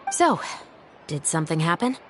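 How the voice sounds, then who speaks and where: A woman's voice: sits low, big pitch swings, normal speed, faint music behind. A woman playing a stern, by-the-book patrol captain in a video game, with a wry, formal, long-suffering manner. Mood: skeptical.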